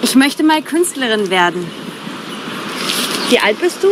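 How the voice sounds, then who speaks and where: A woman voicing a friendly young art student in her twenties, speaking clearly for learners. Her voice sits a little high and her pace is slow. Clear traffic noise runs behind her. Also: big pitch swings. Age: 20s. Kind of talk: scripted textbook dialogue. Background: car traffic.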